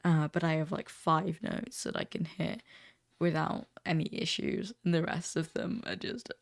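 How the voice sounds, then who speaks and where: A teenage man with a crackly, recently deepened voice, chatting casually and ramblingly as a vlogger. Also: steady tone, normal speed, gravelly, no echo, clean studio sound. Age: teens. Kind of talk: casual chat to camera.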